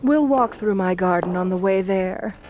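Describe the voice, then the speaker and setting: A woman's voice: medium pitch, moderate pace, noisy background, natural voice. A woman playing an assertive, flirtatious vampire countess in an ASMR roleplay, speaking to the listener in a genteel, doting way. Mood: gracious, charming. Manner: genteel, doting, uses pet names.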